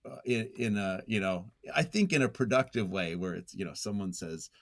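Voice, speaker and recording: A man's voice: on the low side, lively intonation, moderate pace. A man talking casually and reflectively as an interview guest, hesitant and self-effacing as he thinks aloud. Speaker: podcast guest, nonfiction writer.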